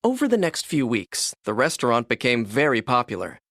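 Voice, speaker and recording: A man narrating a children's read-along storybook in an expressive, scripted storytelling manner. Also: mid-range voice, fairly quick, dry recording, studio quality.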